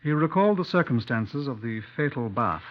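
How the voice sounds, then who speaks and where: Actor as dry British police inspector, dull old recording. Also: male voice, mid-range voice, animated, brisk, close to an everyday voice. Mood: measured narration. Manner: clipped, courteous, dryly probing. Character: brisk, dry-humoured Scotland Yard police inspector narrating his case. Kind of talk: 1950s radio drama acting. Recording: dull 1950s radio recording.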